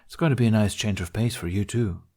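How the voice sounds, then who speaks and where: A man voicing an excitable, cheeky teenage boy in a storybook reading, eager and a little hesitant. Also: on the low side, fast talker. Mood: bargaining.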